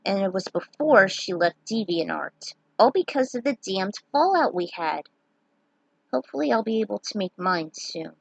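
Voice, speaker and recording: A woman's voice: mid-range voice, lively intonation, normal speed, creaky, rough recording. A woman talking casually about her fan fiction story and sharing her notes as a writer. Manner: chatty, sign-off upbeat.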